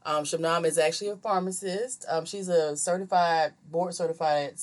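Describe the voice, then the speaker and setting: A woman co-hosting a casual chat, telling stories and asking questions in an informal, animated way. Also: on the low side, moderate pace. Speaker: podcast co-host, interviewer.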